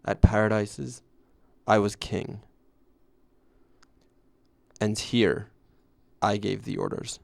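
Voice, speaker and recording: A man's voice: lowish voice, little pitch movement, slow, creaky, long pauses, a little mellow, dry recording, exaggerated voice. A man playing a former usher, reflectively narrating his story in scripted first person.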